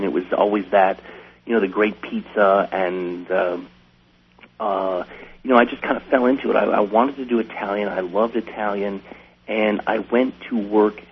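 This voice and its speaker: An American man tells stories casually as a guest, halting and restarting as he goes. His voice sits fairly low with a gravelly creak. The recording is dull radio audio with a faint hum. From Wisconsin, United States. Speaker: chef, interview guest. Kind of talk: casual interview storytelling.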